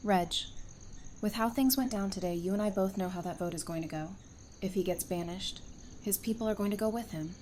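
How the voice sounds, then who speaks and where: A woman's voice: mid-range voice, brisk, mellow, slight background noise, lightly acted. A woman playing a frank, caring female character in an audio drama, speaking directly and informally. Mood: concerned, pleading.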